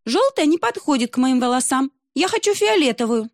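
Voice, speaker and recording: A woman as a capricious mermaid child, high whiny pout, dry close sound. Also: very animated, brisk, dry recording. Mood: sulky. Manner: high, whining, pouty.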